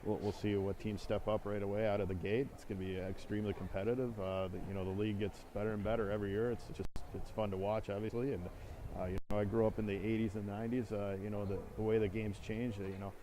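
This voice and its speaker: Man, 40s, hockey co-host, low flat voice, fast, halting, noisy recording. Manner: halting, full of "you know" fillers. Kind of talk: casual hockey talk.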